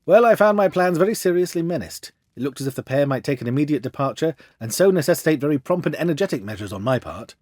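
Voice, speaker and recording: A man reading a storybook as a confident, commanding Victorian gentleman detective with an assured, theatrical manner. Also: on the high side, animated, fast talker, few pauses, dry recording. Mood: brisk, assured.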